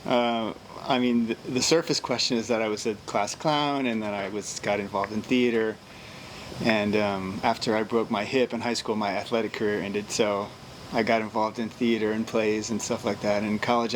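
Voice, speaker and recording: Relaxed low-voiced man, noisy ship. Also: normal speed. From Virginia, USA. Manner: relaxed, chuckles, repeats words while thinking. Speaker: comedian guest. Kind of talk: casual interview chat. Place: on board a cruise ship.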